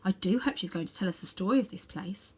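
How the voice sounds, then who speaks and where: A woman's voice: mid-range voice, fast, slightly rough, close to an everyday voice. A woman voicing an awed, eager and honest young boy in a storybook.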